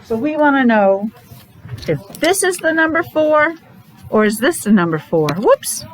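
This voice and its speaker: A woman's voice: high voice, sing-song, faint music behind, poor audio. A woman preschool teacher leading a counting lesson for young children, encouraging and asking lots of questions. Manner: encouraging, asks questions with pauses.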